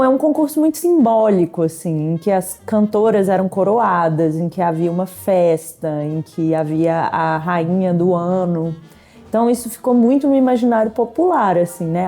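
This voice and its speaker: Brazilian woman, soft dark timbre, lowish pitch, reflective researcher interview, faint music.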